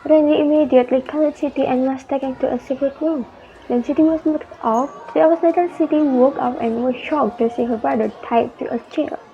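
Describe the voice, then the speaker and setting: A woman's voice: sits high, fairly even intonation, brisk, phone-quality sound. A young adult woman with an Indonesian accent reading scripted narration for a radio drama.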